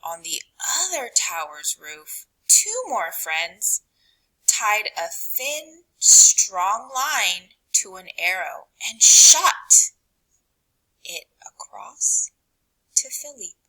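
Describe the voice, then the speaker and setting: A woman reading a picture book aloud to children, with dramatic, deliberate phrasing that drops to a hush and rises for emphasis. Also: on the low side, sing-song, rough recording. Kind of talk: expressive read-aloud narration for children.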